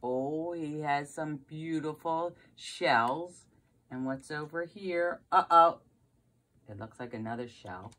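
A woman's voice: sits low, slow pace, a bit bright. A middle-aged woman reading a picture book aloud to young children like a preschool teacher, with questions and exclamations. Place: carpeted basement room at home, indoors.

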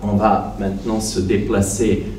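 A man in his forties, not a native French speaker, giving an academic lecture at a conference, pausing often with fillers as he speaks into a handheld microphone. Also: lowish voice, little pitch movement, warm tone, slight background noise. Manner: careful, hesitant with frequent pauses and fillers. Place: conference hall, seated at a panel table. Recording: echoey hall, slightly distant.

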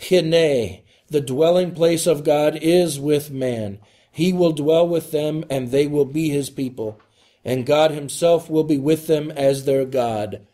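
A man delivering a scripted homily as a preacher, in solemn, deliberate phrases. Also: on the high side, mellow.

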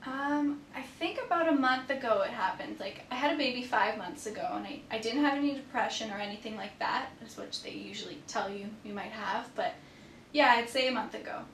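A young woman answers as a patient in a casual, conversational style. Her voice sits fairly high. The recording is echoey, made from across a small exam room. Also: brisk, a bit bright. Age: young adult. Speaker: patient in a clinical skills demonstration. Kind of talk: casual role-play answers. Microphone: far camera microphone. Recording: echoey room, distant mic, faint rumble.

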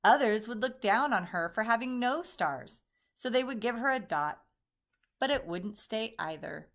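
A woman reading a children's picture book aloud in an expressive storytelling manner. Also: a little high, measured pace. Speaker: storybook reader.

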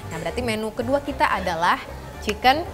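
A woman's voice: a little high, expressive, brisk. A young Indonesian woman presenting a TV cooking show, chatty and asking questions, mixing English words into her speech. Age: young adult. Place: TV studio kitchen set, indoors. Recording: background music, sizzling pan. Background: music and frying sounds.

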